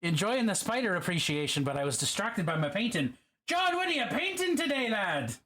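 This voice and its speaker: A man's voice: high-pitched, very animated, fairly quick, sharp tone. A man chatting casually on a live stream while painting, reading messages aloud and teasingly slipping into a mock Irish accent.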